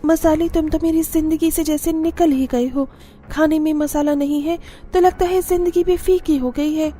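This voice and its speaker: High, bright young daughter-in-law played by a woman. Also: steady tone, normal speed, lightly acted. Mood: wistful, plaintive. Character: wistful young daughter-in-law longing for spicy food. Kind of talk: Hindi cartoon story acting.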